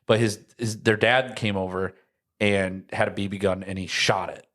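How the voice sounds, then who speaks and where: A man's voice: fairly low pitch, steady tone, moderate pace, fairly bright tone. A young American man chatting casually and telling childhood stories in slangy language, acting out moments as he goes. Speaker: podcast host.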